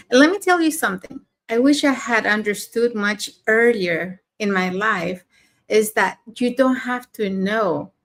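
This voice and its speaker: A woman's voice: mid-range voice, a bit bright. Reflective woman from Mexico sharing her story. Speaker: panelist. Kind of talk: personal sharing on a live online talk.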